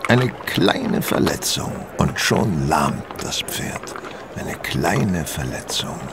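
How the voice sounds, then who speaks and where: A man playing a shrewd, self-assured detective who explains things deliberately in a German audio drama. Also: a bass voice, very animated, very noisy, full character voice. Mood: explanatory, dramatic.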